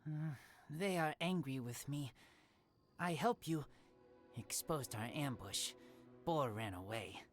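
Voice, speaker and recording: A woman voicing a blunt, guarded teenage boy raised by wolves in a video game, speaking in halting broken sentences. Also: low-pitched, expressive, faint music behind. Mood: earnest.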